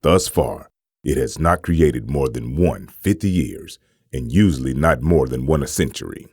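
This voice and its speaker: A man performing a grave, clinical narrator who reads a demon-hunting manual in a measured, formal, gravelly voice, as scripted comic-dub narration. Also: rumbling low, animated, a little mellow, no echo, clean studio sound, full character voice. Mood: cold, instructive.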